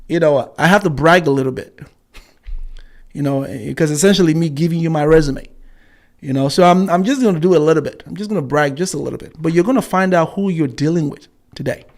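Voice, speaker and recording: A man in his forties hosting a live stream, combative and repetitive, with frequent fillers and pauses. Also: on the high side, darkish tone. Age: 40s. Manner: combative, repeats himself for emphasis, frequent fillers. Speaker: channel host.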